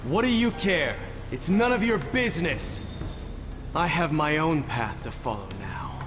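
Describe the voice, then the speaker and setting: An actor playing a bitter, defiant teenage ninja bent on revenge in an anime game dub. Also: male voice, a little high, expressive, a little slow, music in the background. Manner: intense, defiant, dramatic.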